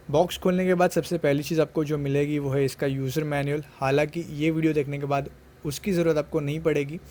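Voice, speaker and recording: A man's voice: on the high side, a little mellow. A man narrating a product unboxing and review, explaining things step by step and mixing in English words.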